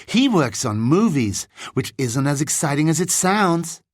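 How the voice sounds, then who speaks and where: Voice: mid-range voice, sing-song, measured pace, no room sound, clean studio sound, pitched above the performer's own voice. A narrator voicing a chatty, breezy, wisecracking young American boy wizard in an audiobook, talking in guileless slangy bursts.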